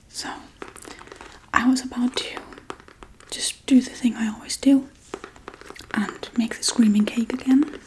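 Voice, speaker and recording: A woman playing a quirky, politely menacing Halloween witch in a breathy, soft-spoken ASMR role-play. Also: fairly high pitch, lightly acted, low-quality recording. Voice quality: breathy, mostly whispered. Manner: unhurried, chatty, politely menacing. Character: quirky Halloween witch casually preparing a human sacrifice.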